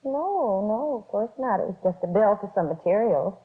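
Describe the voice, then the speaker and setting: A woman's voice: medium pitch, animated, moderate pace, husky. An actress playing a middle-aged housewife in a radio police drama, polite but uneasy and hesitant about her husband. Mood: puzzled, uneasy. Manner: hesitant, fretful. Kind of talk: 1950s radio drama acting. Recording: muffled, band-limited old radio recording.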